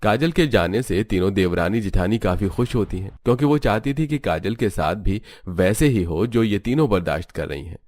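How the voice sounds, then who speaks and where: Lowish-voiced man narrating a story. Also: lively intonation, moderate pace, few pauses, a little mellow, no room sound. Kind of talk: scripted Hindi cartoon story narration.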